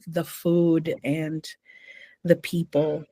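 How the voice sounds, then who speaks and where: Low-voiced woman recalling her roots. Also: level tone, drawn-out words, dry recording. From Philippines, Metro Manila. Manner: warm, storytelling, many fillers. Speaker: podcast guest, novelist. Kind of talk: casual interview conversation.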